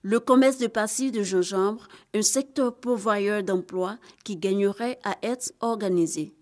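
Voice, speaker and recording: A woman giving scripted news narration as a reporter, in a measured, formal delivery. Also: medium pitch.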